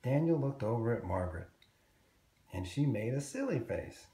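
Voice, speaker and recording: A man reading a children's story aloud, expressively and deliberately, drawing out words for young listeners. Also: medium pitch, big pitch swings, warm tone, roomy sound.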